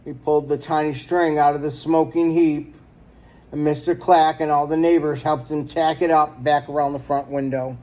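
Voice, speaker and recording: High-voiced man reading a storybook. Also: flat delivery, moderate pace, mellow. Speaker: storybook reader. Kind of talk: read-aloud children's story narration.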